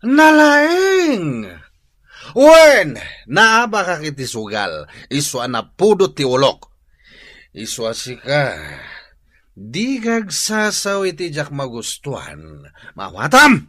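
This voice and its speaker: A man's voice: a little high, sing-song, takes it slowly, pauses often, dry recording, studio quality, heavily acted. A man playing a hot-tempered, hard-drinking gambler husband in a radio drama, jeering and accusatory. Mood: angry, defiant. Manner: explosive shouting, jeering, accusatory. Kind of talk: Filipino radio drama acting.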